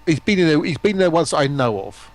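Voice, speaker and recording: A man's voice: on the high side, animated, fairly quick. Man chatting casually on a call. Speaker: streamer joining a group call. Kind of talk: casual chat.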